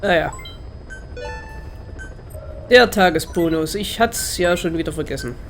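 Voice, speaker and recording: A man's voice: a little high, unhurried, faint music behind. A man with a slightly congested, nasal voice from a cold, giving casual, hesitant Let's Play commentary as he thinks aloud.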